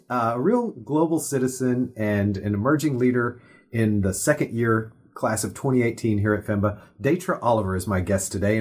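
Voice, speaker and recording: A man hosting a business school podcast, introducing and questioning his guest in a measured, friendly way with small hesitations. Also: mid-range voice, husky. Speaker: podcast host.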